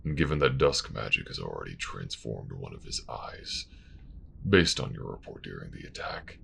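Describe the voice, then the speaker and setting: A man as a very deep, gravelly Faith Keeper. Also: big pitch swings, fairly bright tone, a little hiss or hum, exaggerated voice. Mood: warning, formal. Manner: measured, formal, grave. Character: stern, world-weary male religious official, a Faith Keeper. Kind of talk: fantasy audio drama acting.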